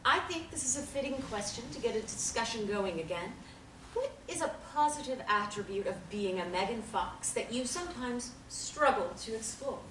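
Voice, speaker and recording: A woman reads a calm female group therapist. She speaks in a measured, gently encouraging way and keeps the group steady. The recording sounds echoey, with the mic set well back in the room. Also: on the high side, distant mic, a little hiss or hum. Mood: reassuring. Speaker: reader of stage directions and the therapist. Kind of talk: table reading of a stage play.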